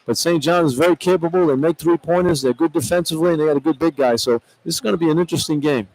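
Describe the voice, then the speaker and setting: Man, sports radio analyst, rapid talker, slightly high pitch, conversational, emphatic repetition. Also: darkish tone, low-quality recording. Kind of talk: unscripted sports commentary.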